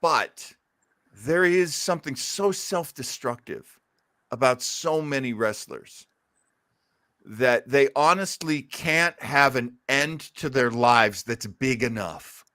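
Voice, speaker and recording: A man chatting casually and telling stories in an animated, emphatic way. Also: medium pitch, slow, no echo, clean studio sound. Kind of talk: casual chat about pro wrestling.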